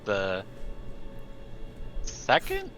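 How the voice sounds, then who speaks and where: A man's voice: fairly low pitch, huge pitch swings, very slow, fairly bright tone, faint music behind. A man chatting casually as a player in a tabletop roleplaying game. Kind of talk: casual game-table chat, some in-character speech.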